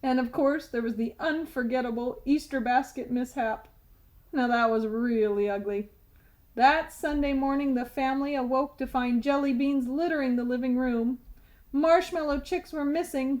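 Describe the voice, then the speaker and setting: A woman's voice: sits high, moderate pace, a little mellow, rough recording. A woman storyteller reading a children's picture book aloud expressively.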